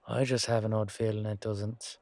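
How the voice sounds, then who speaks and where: A man playing a weary, down-to-earth knight guardsman in a fantasy audio drama, musing colloquially to his partner with hesitant pauses. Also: on the low side, little pitch movement, darkish tone, no room sound, lightly acted. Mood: thoughtful, suspicious. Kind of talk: roleplay audio drama monologue addressed to a partner.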